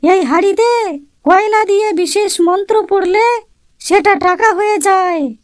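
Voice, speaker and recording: Lady doing a crafty cartoon housewife, coy and persuasive, super high and childlike pitch, dry sound. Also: a little slow, dry recording. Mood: coy, sly. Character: clever, calm, scheming young village housewife in a cartoon.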